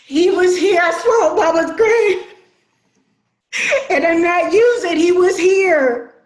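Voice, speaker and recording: An actress playing a bereaved, distraught adult daughter in a stage drama, insistent and emotional, recorded in an echoey room. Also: female voice, very high-pitched. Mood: shaken, insistent. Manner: insistent, emotional, drawn-out delivery.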